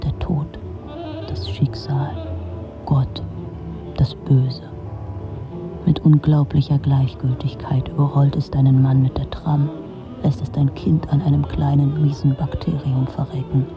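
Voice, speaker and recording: A woman's voice: extremely low pitch, level tone, a little slow, lo-fi sound, over music. An actress playing a disillusioned, sardonic middle-aged woman, delivering a dry, brooding literary monologue in a radio drama. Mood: bitter, despairing.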